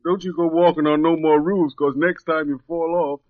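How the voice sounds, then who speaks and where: Male actor as humble, simple rural man, deferential and halting, higher pitch, dull vintage radio drama sound. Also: steady tone, dry recording, natural voice. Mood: hesitant, eager. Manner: hesitant, stammering, deferential. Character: humble, nervous simple country man, polite male prisoner. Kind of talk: old-time radio drama acting. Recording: muffled vintage radio recording.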